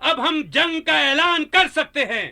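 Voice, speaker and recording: An actor playing an earnest, devoted royal general in a radio drama, speaking in a formal, declamatory manner. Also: male voice, extremely high pitch, measured pace, gravelly, music in the background, heavily acted. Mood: rousing, commanding. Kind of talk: Hindi radio drama acting.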